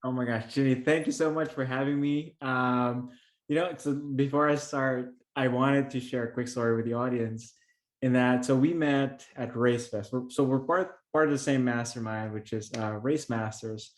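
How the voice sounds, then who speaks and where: Filipino man, middle-aged, interview guest; earnest, halting delivery, roomy echo. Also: medium pitch, steady tone. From Philippines. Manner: earnest, many restarts and fillers. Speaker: podcast guest, real estate investor. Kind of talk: conversational interview storytelling. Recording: echoey room.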